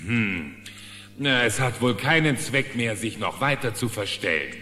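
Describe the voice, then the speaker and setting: An actor voicing a brash, quick-witted bear pilot who blusters and bluffs in a children's cartoon radio play. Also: male voice, mid-range voice, sing-song, sharp tone. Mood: bluffing. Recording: echoey hall reverb, faint music.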